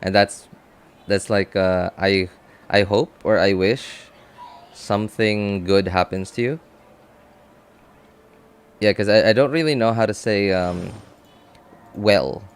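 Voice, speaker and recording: Low-voiced man thinking aloud. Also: smooth. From Philippines. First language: Cebuano. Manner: halting, thinking aloud with many fillers and restarts. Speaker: game streamer. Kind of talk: casual live-stream chat.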